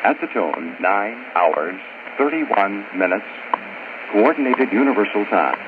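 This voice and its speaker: A male time-signal announcer with a fairly low voice, speaking formally and precisely with lively intonation. He comes through a shortwave radio, buried in very loud static. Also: a little slow. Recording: noisy, muffled shortwave radio reception.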